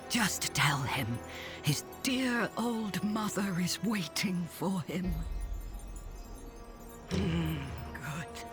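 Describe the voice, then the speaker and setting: A woman playing a shrewd, fretful old peasant mother in a video game cutscene, grumbling in an earthy way. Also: fairly low pitch. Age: older. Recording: background music under the voice.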